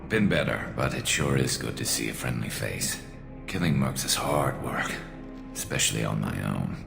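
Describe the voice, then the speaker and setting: Brooding alien vigilante for a video game: rumbling bass with gravel, clipped dry wit. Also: male voice, lively intonation, normal speed, heavily acted. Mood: wry, weary. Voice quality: deep gravelly voice. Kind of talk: video game dialogue acting. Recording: loud music underneath. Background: music.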